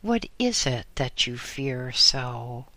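A narrator voicing a calm, compassionate old lama who speaks in a gentle, measured way. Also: mid-range voice, dry recording, heavily acted.

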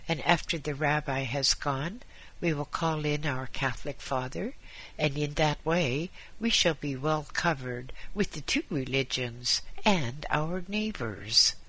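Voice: mid-range voice, measured pace, sharp tone, low-quality recording. A narrator voicing an anxious, status-conscious wife, fussing and fretful. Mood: scheming.

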